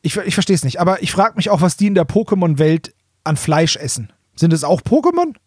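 High-voiced man, jokey and colloquial. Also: very animated, a little fast, a little mellow, slightly rough, no room sound, studio quality. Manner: jokey, colloquial, acts out short mock quotes. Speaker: podcast co-host. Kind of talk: casual humorous chat recapping an audio drama.

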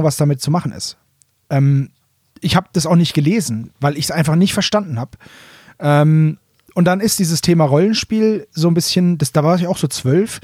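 Higher-voiced man chatting as podcast co-host. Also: darkish tone, breathy edge, no echo, studio quality. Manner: chatty, mixes English phrases into speech. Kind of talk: casual podcast chat.

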